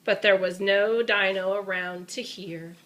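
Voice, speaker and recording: A woman's voice: medium pitch, fairly even intonation, unhurried, fairly bright tone, poor audio. A woman reading a children's storybook aloud, with dramatic emphasis and chatty asides addressed to a young listener. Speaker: storybook reader.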